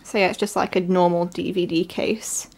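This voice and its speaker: A woman from England with a stuffy, congested voice from a cold, chatting excitedly through an unboxing with lots of fillers. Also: on the low side, normal speed, a little hiss or hum. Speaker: vlogger. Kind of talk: casual unboxing narration. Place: at home, at a table.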